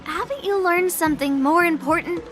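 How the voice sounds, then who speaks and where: Young female mentor, high voice, measured, formal, encouraging, anime game. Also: over music. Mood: gently encouraging. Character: poised, gently guiding young female mentor. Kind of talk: anime-style video game voice acting.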